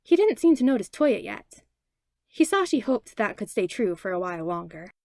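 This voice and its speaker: A woman narrating a fanfiction audiobook in an expressive read-aloud manner on a close mic. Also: medium pitch, moderate pace, creaky, no echo. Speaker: fanfiction podfic reader. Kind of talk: storybook narration.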